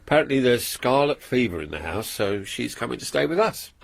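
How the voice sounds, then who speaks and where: A kindly middle-aged husband in a radio drama, with a gravelly, husky voice. His pitch rises and falls freely as he speaks mildly and with concern. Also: big pitch swings, a little fast. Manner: gentle, mild, concerned.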